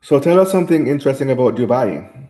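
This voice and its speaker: A man's voice: mid-range voice, big pitch swings, fast talker, dark tone, roomy sound. A man from Jamaica hosting a live stream, reading viewer comments aloud and answering them in casual chat.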